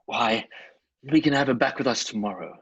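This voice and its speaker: Man acting a husky, cheerful old-radio husband. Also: medium pitch, normal speed, a bit bright, no room sound, highs cut off. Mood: delighted, excited. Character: cheerful, eager working husband of a landlady.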